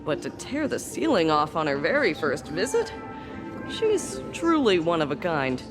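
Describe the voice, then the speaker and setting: A woman's voice: low-pitched, huge pitch swings, a little slow, exaggerated voice. A woman voicing a sardonic, exasperated fake doctor in a video game, with a sarcastic, dramatic delivery. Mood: wry, exasperated. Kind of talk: English video game voice acting. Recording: music playing behind the voice.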